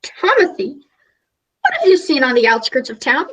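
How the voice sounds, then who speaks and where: A woman playing an earnest, melodramatic, easily flustered radio news reporter in a comic radio play, halting and polite. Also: sits high, sing-song, a bit bright, husky, heavily acted, poor audio.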